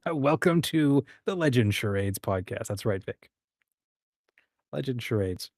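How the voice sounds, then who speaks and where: A man's voice: medium pitch, lively intonation, takes it slowly, darkish tone, dry recording, studio quality. A man hosting a livestream in a casual, informal and encouraging chatty way. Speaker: host of a writing community livestream.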